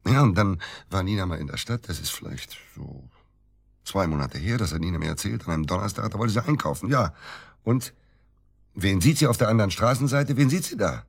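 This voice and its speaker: An actor playing a bitter, agitated middle-aged widower in a naturalistic radio drama, halting and repeating himself for emphasis. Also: male voice, low-pitched, expressive, gravelly, slightly rough, full character voice. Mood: agitated, accusing.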